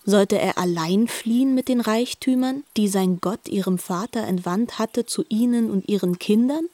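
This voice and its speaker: A woman's voice: mid-range voice, normal speed, mellow, no room sound. A woman reading scripted literary narration in a radio drama.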